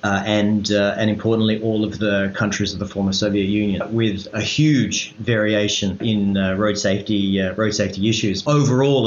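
Australian male expert guest, formal but halting delivery, dull lo-fi recording with room echo. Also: lowish voice, slight background noise. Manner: halting, hesitant delivery with measured formal wording. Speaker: road safety regional advisor, interview guest. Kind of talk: formal interview explanation. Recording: roomy echo, dull lo-fi sound.

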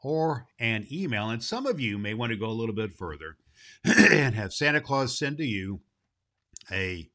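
Male performer as grandfatherly Christmas gift-bringer, jolly and hearty; forceful bright voice, swooping intonation, deliberate storyteller phrasing for kids. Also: mid-range voice, sing-song. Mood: cheerful, explaining. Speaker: host of a children's Christmas story show. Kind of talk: hearty in-character greeting and storytelling for children.

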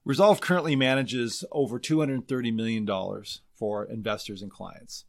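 A man's voice: medium pitch, steady tone, normal speed, vocal fry. A man hosting an interview podcast, introducing and talking with his guest in a conversational style.

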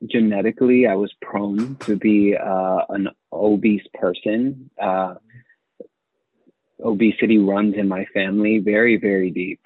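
A man telling his own story as an interview guest, measured and repeating phrases for emphasis. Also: fairly low pitch, steady tone, slightly rough, no echo. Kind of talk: reflective personal storytelling. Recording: muffled phone-like line, very dry.

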